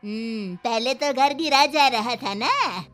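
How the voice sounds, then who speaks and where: A woman's voice: fairly high pitch, slow, a bit bright. An actress playing a scheming, bitter old grandmother-in-law in a moral story cartoon, taunting and bossy. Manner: taunting, drawling, meddling. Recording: background music.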